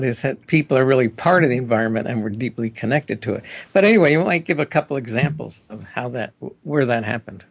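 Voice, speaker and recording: Gravelly-voiced man hosting an interview. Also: mid-range voice, no echo. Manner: enthusiastic, chatty, with frequent fillers. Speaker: radio host, interviewer. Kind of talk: interview questions.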